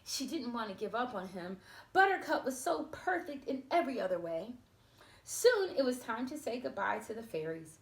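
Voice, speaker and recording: A woman reading a storybook aloud to children, expressive, with chatty asides spoken straight to her young listeners. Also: on the high side, moderate pace, vocal fry, roomy sound, rough recording.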